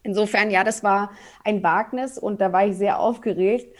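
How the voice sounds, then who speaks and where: A woman's voice: medium pitch, fairly even intonation, moderate pace. A woman in her forties from Berlin, telling her story openly as a podcast guest, with many fillers. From Berlin, Germany. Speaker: guest, photographer. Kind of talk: casual interview.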